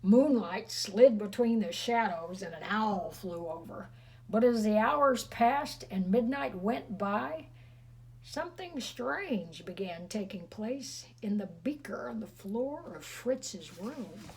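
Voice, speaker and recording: A woman reads a children's storybook aloud at an unhurried pace. She is expressive and slips into chatty, teasing remarks to her young listeners. Faint noise sits behind her voice. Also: medium pitch.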